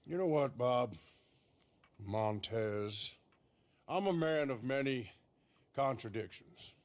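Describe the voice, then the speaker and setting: A man's voice: medium pitch, lively intonation, slow, no room sound. Dry, plain-spoken Western lawman. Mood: dry, resolute. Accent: American. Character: dry, resolute Old West lawman, male. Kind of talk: video game cutscene acting.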